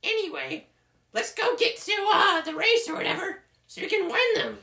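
A cocky bird gang leader from a game, as a man puts him on, talking in a very high, bright, gravelly squeak. He swoops up and down and throws out smug insults. It is a loose comedic fan dub. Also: lively intonation, exaggerated voice. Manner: smug, bossy, quick to insult.

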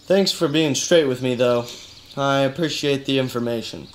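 A man's voice: mid-range voice, normal speed, a little hiss or hum, close to an everyday voice. A man playing a weary, skeptical hardboiled detective with colloquial talk, in a noir-parody radio drama. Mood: softening, puzzled. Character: exasperated, hardboiled male detective questioning his cousin.

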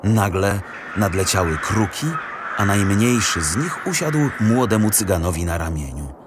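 A man narrating a fairy tale from a script in an expressive, dramatic storytelling manner. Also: sits low, moderate pace, very noisy. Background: music.

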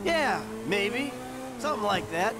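An actor playing a cocky middle-aged criminal mentor in a video game, brash, casual and insistent. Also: male voice, sits high, big pitch swings, a little slow, loud music behind the voice. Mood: persuasive.

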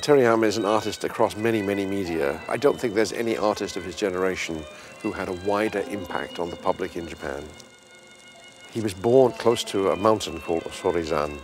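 A man's voice: sits low, a little slow, music in the background. A man giving explanatory, emphatic interview commentary as an art expert.

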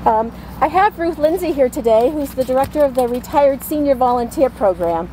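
A woman hosting an outdoor award ceremony from a podium, speaking warmly with frequent ums. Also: high voice, animated, fairly quick, slight background noise, low-quality recording. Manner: warm, with frequent "um" fillers. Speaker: senior center organizer hosting a ceremony. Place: outdoors at a podium in front of a brick building. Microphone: podium mic.

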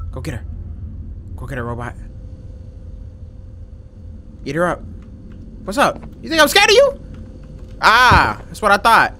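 A man in his twenties giving casual, slangy, jokey gaming commentary with some swearing and excitable reactions. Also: mid-range voice. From Dominican background. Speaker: gaming video host. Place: indoor room, gaming setup. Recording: noticeable background game noise.

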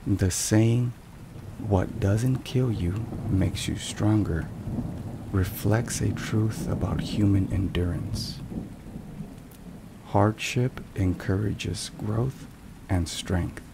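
A man narrating scripted religious ASMR in a deliberate, measured way. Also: lowish voice, gravelly, background noise. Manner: slow, deliberate, measured phrasing. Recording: steady rain and thunderstorm sounds behind. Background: rain and thunder.

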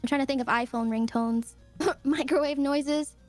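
A woman's voice: high-pitched, fairly even intonation, moderate pace, a little mellow, no room sound, soft background music. A woman chatting casually and delivering short voice lines as a performer.

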